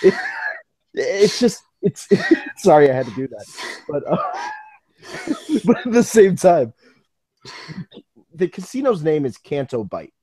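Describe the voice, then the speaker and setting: An American man co-hosting a casual live fan podcast, talking in an excitable, joking way. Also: fairly high pitch, measured pace, long pauses, husky, dry recording. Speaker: podcast co-host.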